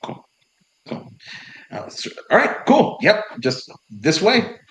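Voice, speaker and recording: A man's voice: sits high, huge pitch swings, takes it slowly, a bit bright. A man playing a tabletop role-playing game, speaking in and out of character in an easygoing, rambling way. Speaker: player.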